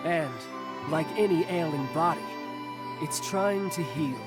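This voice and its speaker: A woman's voice: sits low, a little slow. A woman voicing a grandiose divine emissary in a video game, declaiming in lofty, archaic, theatrical phrases. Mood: solemn, grandiose. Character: grandiose divine emissary Primal, theatrical, exuberant, young-sounding. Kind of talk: scripted video game dialogue. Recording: orchestral music behind the voice.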